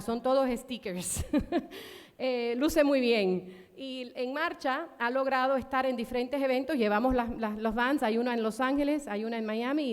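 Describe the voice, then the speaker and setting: Woman giving a fired-up conference talk, kinda high voice, lots of ums, big echoey hall. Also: smooth. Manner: passionate, emphatic; many fillers and restarts. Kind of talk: conference presentation. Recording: long hall reverb.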